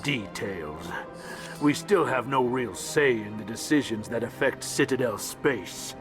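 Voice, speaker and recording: A man's voice: mid-range voice, animated, a little slow, over music, close to an everyday voice. A man voicing a grumbling, resentful small alien ambassador in a video game, haughty and clipped in his phrasing. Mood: dismissive.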